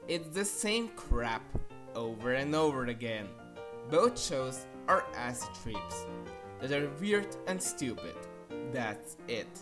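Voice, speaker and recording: A woman's voice: rumbling low, sing-song, slow. A woman narrating an opinionated countdown review in a blunt, sarcastic and casually crude manner. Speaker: video reviewer. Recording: music behind the voice. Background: music.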